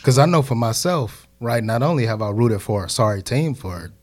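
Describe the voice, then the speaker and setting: A man's voice: mid-range voice, lively intonation, normal speed. A man in his thirties in a casual group discussion, building reasoned points with frequent hedges. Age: 30s.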